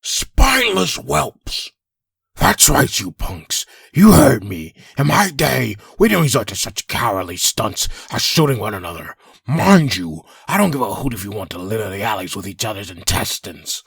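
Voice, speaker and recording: A man acts a crotchety, bloodthirsty old-timer in a comic dub. His voice is gravelly and raspy, sneering with lively swoops of pitch, in a dry close recording. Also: moderate pace, no echo, full character voice. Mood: scornful, gleeful. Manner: scornful, crotchety. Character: cantankerous, bloodthirsty old man ranting at youths.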